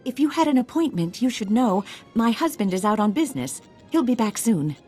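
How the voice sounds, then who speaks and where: Lilting, high-voiced wary housewife. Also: female voice, big pitch swings, a little fast, a little mellow, soft background music. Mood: hesitant, wary. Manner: polite, guarded. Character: polite, wary wife of a crime supplier. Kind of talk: video game dialogue acting.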